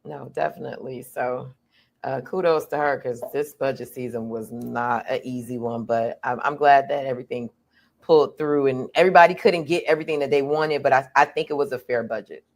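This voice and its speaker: A woman's voice: rumbling low, a little fast, vocal fry, a little mellow. A woman co-hosting a podcast, speaking in casual, slangy conversation and reflecting on her views. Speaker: podcast co-host. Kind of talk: casual chat.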